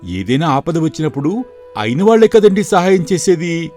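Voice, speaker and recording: Man playing an earnest, higher-voiced young shop clerk. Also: big pitch swings, moderate pace, a little mellow, soft background music. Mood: polite, earnest. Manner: polite and deferential. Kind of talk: dramatized moral story for video.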